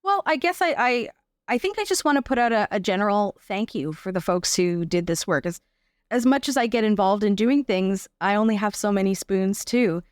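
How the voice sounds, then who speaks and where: A woman's voice: mid-range voice, lively intonation, normal speed, clean tone, no room sound. Canadian woman talking in a dry, close recording. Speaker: interview guest, performer and advocate. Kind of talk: conversational interview answers.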